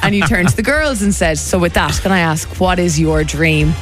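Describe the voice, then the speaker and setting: A woman's voice: lowish voice, normal speed, over music. A woman hosting a radio show, telling stories in a casual, teasing countdown style. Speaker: radio host.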